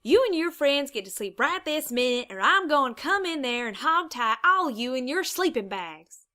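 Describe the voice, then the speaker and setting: A woman's voice: high voice, very animated, normal speed, sharp tone, exaggerated voice. A woman voicing a stern, bossy country mare, scolding in an audiobook. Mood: irritated. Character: irritated country mare, bossy big sister farm pony. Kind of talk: fan audiobook character acting.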